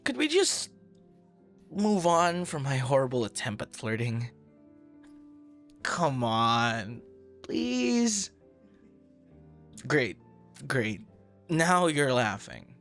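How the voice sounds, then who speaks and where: A man's voice: medium pitch, wildly swooping pitch, drawn-out words, pauses often, a bit bright, faint music behind, exaggerated voice. A man playing a shy, dorky young man in an ASMR boyfriend roleplay, stammering and bashful, smitten with a stranger. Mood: flustered, embarrassed. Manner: stammering, bashful, awkward, breathy laughs and sighs.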